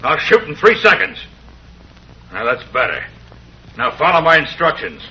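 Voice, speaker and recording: An actor playing a ruthless, gloating western villain who barks menacing orders in an old radio drama. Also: male voice, a little high, big pitch swings, moderate pace, breathy edge, highs cut off. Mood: commanding, threatening. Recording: sharp, grainy old radio recording.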